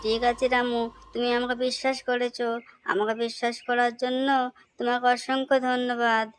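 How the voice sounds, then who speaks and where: A woman's voice: fairly high pitch, little pitch movement, normal speed, slight background noise, lightly acted. A woman voicing a kind, generous magical mother parrot in a cartoon story, speaking politely and reassuringly. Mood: persuading, grateful.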